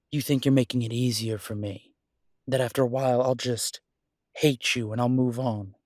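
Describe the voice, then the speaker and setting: A protective werewolf detective played by a man in an intimate audio drama, with a mid-pitched voice in a very dry space. He is devoted and stubborn, speaking earnestly and insistently to his lover, with weighty pauses. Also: a little mellow, no room sound, natural voice. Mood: upset, insistent. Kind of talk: dramatic roleplay audio acting, intimate one-sided dialogue.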